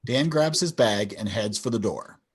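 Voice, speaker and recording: A man's voice: lowish voice, animated, moderate pace, slightly rough. A man narrating stage directions for a scripted sitcom table read over a video call.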